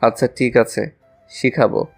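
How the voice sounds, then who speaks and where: A man's voice: mid-range voice, little pitch movement, a little slow, gravelly, a little mellow, soft background music. A man playing a lovestruck, casual young college student in a romantic audio story.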